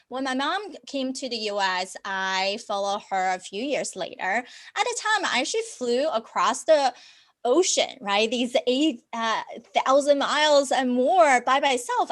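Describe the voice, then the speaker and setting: A woman guest speaker telling her story in a casual interview, bold and brisk with tag questions. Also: on the high side, fairly bright tone. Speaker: guest author and performance speaker.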